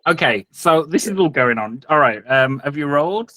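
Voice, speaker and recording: A man's voice: on the high side, expressive, normal speed, sharp tone, no echo. A man running a tabletop roleplay game as game master, narrating casually and often searching for his words.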